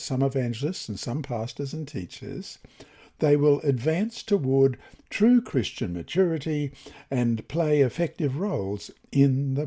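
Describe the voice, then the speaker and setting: A man reads a scripted religious lesson in a deliberate, expressive manner with measured phrasing. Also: mid-range voice, husky. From Australia. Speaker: reader of Bible study lessons.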